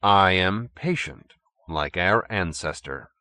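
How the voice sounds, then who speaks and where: Man acting polite male henchman, audiobook, patient, measured, quietly sinister, lively intonation. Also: low voice, no room sound, full character voice. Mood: cool courtesy.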